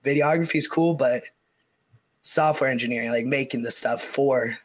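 A man's voice: mid-range voice, moderate pace. Easygoing man telling his story. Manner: easygoing storytelling with many fillers. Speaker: guest. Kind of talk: casual chat.

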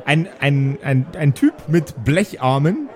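A man's voice: on the high side, sing-song, takes it slowly. A man working as game master, improvising narration for a tabletop audio game.

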